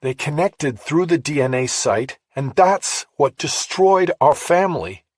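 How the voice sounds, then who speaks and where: Grieving mother acted by a man, raised pitch, soft strained delivery, husky, guilt-ridden, audiobook drama. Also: animated, no echo, clean studio sound. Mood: bitter, anguished. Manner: sorrowful, halting, bitterly resigned. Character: grieving middle-aged mother, broken, guilt-ridden, confessing a secret.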